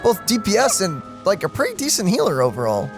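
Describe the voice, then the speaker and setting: A man's voice: high-pitched, huge pitch swings, fast, creaky. A man co-hosting a let's play with casual, colloquial, joking gaming commentary. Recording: game music behind voice.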